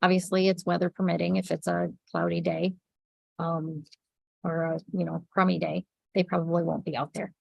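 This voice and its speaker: A woman running a yarn shop livestream, chatting casually with viewers, sniffly and a little raspy from a cold. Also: lowish voice, level tone, moderate pace, no echo, highs cut off. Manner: chatty, reads out viewer comments by name, many ums. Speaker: yarn shop owner hosting a weekly livestream.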